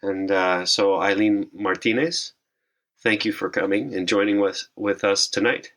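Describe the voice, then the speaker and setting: A very low-pitched man hosts a travel interview. He talks slowly with a bright tone and winds through long, reflective questions. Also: fairly even intonation. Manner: slow, reflective, rambling questions. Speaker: podcast host.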